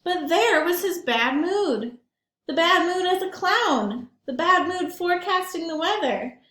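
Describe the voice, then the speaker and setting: A high-pitched woman reads a children's picture book in an echoing room. She is animated and emphatic, and she turns to her young listeners with simple questions as she goes. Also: a little slow. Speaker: read-aloud storyteller for young children. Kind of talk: expressive picture-book read-aloud with asides to children.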